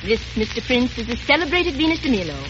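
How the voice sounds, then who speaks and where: An actress playing a proud, sharp-tongued young woman in a 1940s radio romance. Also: on the high side, sing-song, quick pace. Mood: haughty, cool. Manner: arch, clipped, sarcastic. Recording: muffled, noisy old radio recording.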